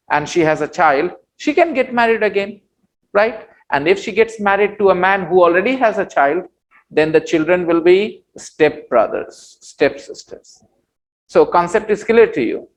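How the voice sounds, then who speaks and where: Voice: mid-range voice, rough recording. An English teacher with an Indian accent speaks in a mid-range voice. The delivery is that of a beginners' spoken lesson, with phrases said over again and short questions to check that learners follow. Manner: explanatory, repeats phrases, asks check questions.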